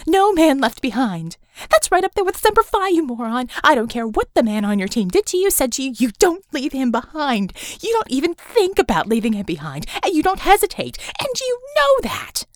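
A woman's voice: high voice, sing-song, normal speed, hardly any pauses, dry recording, studio quality, voice pushed up. A woman voicing a furious, foul-mouthed young woman in an audiobook, delivering an emphatic scolding tirade. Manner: emphatic, cursing tirade peppered with foreign swear words.

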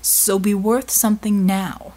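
A woman's voice: mid-range voice, steady tone, unhurried, warm tone, close to an everyday voice, poor audio. A woman narrator voicing a big, stern military man in an audiobook, blunt, matter-of-fact and unsentimental.

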